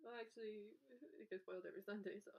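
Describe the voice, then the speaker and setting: A woman of about twenty chatting excitedly while dyeing eggs, squealing and laughing often, recorded on a camera mic in a small bedroom. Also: medium pitch, fast talker. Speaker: co-host, college student.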